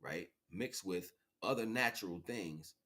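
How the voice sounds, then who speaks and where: A man in his forties with a fairly low voice hosts an informal livestream. He rambles in slangy speech, circling back over his phrases and often checking that the listener follows. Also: expressive, measured pace, a bit bright. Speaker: livestream host.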